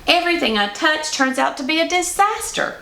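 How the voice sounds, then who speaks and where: Woman voicing a sad little storybook elf, high-pitched. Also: very animated, brisk, vocal fry, sharp tone, roomy sound, heavily acted. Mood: dejected. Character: sad, kind little male elf, a toymaker's helper. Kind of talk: children's storybook read-aloud.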